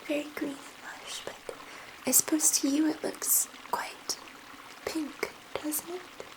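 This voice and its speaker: A gentle, excitable alien researcher, played by a woman, speaks in a breathy, high whisper. Her delivery is slow and hesitant, made for an ASMR roleplay. She sounds curious and proud as she reassures one listener up close. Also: low-quality recording. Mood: dreamy wonder.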